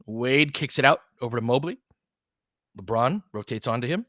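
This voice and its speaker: A man's voice: medium pitch, expressive, moderate pace, fairly bright tone, no echo. A man with a mid-range voice commentates on basketball as a streamer and host. He moves between clipped play-by-play phrases and conversational, slangy chat. The sound is dry, with hardly any room in it. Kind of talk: casual fan commentary, play-by-play mixed with chat.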